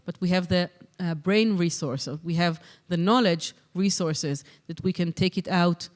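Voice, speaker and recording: A conference session convener speaks into a handheld microphone. The voice is smooth and clear at a mid pitch. The delivery is measured, with many ums and uhs between phrases. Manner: measured, with frequent um and uh. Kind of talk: conference moderation and answers. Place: conference hall.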